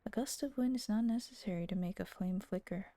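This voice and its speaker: A woman's voice: mid-range voice, a little fast, mellow, dry recording. A woman livestreaming a visual novel, reading the story aloud and reacting with playful asides. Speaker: streamer voicing a visual novel. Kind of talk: livestream voice-through with casual reactions and dramatic reading.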